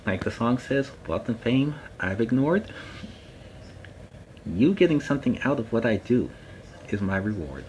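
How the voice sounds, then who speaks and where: A man's voice: mid-range voice, very animated, a little slow, long pauses, darkish tone, slight background noise, rough recording. A man playing a friendly superhero storytime host, talking casually and earnestly to viewers in a plainspoken, emphatic way. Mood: warm, sincere. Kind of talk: casual instructive talk to viewers.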